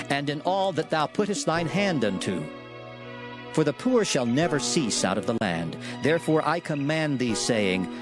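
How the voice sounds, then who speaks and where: A man giving a solemn, measured, declamatory reading of scripture in a dramatized production. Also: medium pitch. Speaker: scripture reader. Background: background music.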